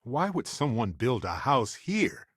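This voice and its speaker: An actor playing a wry, affectionate, talkative space explorer companion in a video game, with a playful, expressive, conversational delivery. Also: male voice, medium pitch, a little slow, no room sound, clean studio sound. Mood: wry, reflective.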